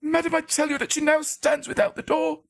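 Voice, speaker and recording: Guilt-wracked gothic gentleman losing his mind: high, strained, repetitive gibbering, full of terror. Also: monotone, a little fast, gravelly, heavily acted. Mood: shrieking hysteria. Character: terrified, guilt-wracked, half-mad gentleman. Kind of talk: dramatic gothic story reading.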